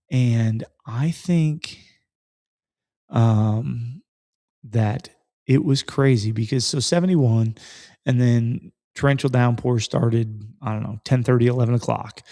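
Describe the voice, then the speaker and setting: A man's voice: medium pitch, dark tone, no echo, studio quality. A man, hosting a podcast in a rambling, folksy way, full of fillers and restarts. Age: 30s. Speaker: podcast host. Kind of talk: casual chat.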